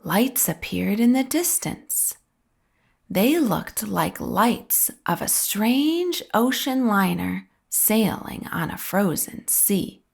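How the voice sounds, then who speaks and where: A woman reading a children's story aloud with expressive, drawn-out phrasing and a breathy edge at the ends of phrases. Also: medium pitch. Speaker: storybook reader.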